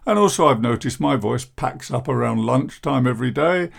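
Older male podcast host, deep gravelly croak, measured and downbeat, self-deprecating. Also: lively intonation. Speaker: podcast host, therapist and coach. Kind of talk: reflective podcast monologue.

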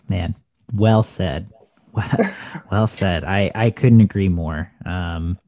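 A man with a very deep voice hosts a podcast conversation, circling his questions with fillers and repeated starts. The recording is dry and close, with no room sound. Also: slow, dark tone, no echo. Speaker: podcast host. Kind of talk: casual interview conversation.

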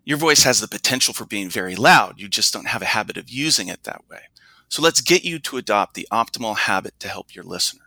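A man working as a speaking coach, answering interview questions in an explanatory way and slowing down to stress his points. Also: fairly low pitch, expressive, pushed and bright, no echo, low-quality recording.

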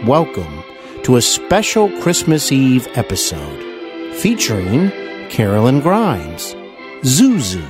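A man hosting a podcast, reading a scripted introduction with earnest, emphatic, measured phrasing. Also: mid-range voice. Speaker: podcast host.

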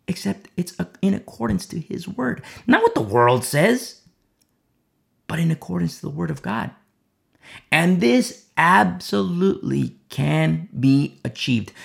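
Gravelly preacher with lively pitch. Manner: rhetorical, repeats questions and "you see?". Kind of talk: sermon.

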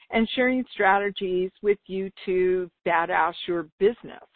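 A woman with a slightly raspy voice, talking informally and enthusiastically as the solo host of a business podcast. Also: mid-range voice, no room sound. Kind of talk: upbeat solo podcast talk. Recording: dull lo-fi radio-like sound.

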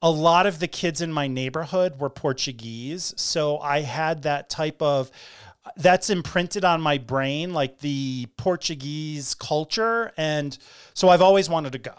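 A man from Connecticut hosts a casual interview in a high, light voice. He is chatty and playful and jokes easily with his guest. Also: normal speed, clean tone. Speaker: podcast host.

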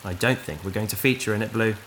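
Casting: woman as dry, patient space adventurer, bright and expressive, gently correcting, sci-fi audio drama over faint ambience. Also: very deep voice, quick pace, a little hiss or hum. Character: patient, wry adventurer minding a wayward AI companion.

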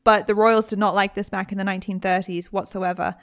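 A young British woman hosting a podcast, telling a story in a casual, chatty way with lively asides. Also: mid-range voice, a little fast. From Britain. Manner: chatty, animated, frequent laughs and asides. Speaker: podcast host.

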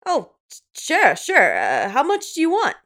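A woman's voice: high-pitched, huge pitch swings, a little slow, a bit bright, full character voice. A woman playing a timid, apologetic milkman in a horror radio play, talking in a hesitant, stammering, folksy way. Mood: sheepish. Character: timid, apologetic male milk delivery worker. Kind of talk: 1930s horror radio play table read.

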